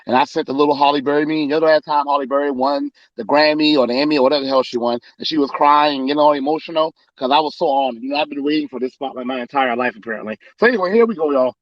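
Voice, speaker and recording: A man's voice: fairly high pitch, rapid-fire, few pauses, no echo, dull audio. A man, hosting a casual livestream and talking sarcastically to his listeners. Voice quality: loud voice. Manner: sarcastic, talks directly to listeners. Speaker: political podcast host.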